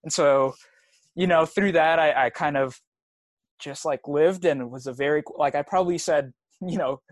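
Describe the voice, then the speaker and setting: A young man whose first language is Swahili, talking casually as a podcast guest, soft-spoken with many fillers. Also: a little high, normal speed, no room sound. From United States, raised partly in Tanzania. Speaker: guest runner.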